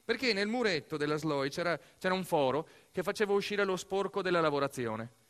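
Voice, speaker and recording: An Italian man performs a theatrical monologue for radio theatre in a slightly high-pitched voice. He tells it expressively and playfully, leaning on key words with dramatic emphasis and leaving deliberate pauses. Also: lively intonation, a bit bright. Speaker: stage actor-narrator.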